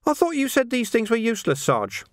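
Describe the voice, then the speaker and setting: A naive young lad of a police recruit , put on by a male narrator, pitched well up and wailing nervously. He sounds hesitant and plaintive, an audiobook character in a dry recording. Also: huge pitch swings, a little fast, no echo, exaggerated voice. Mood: puzzled.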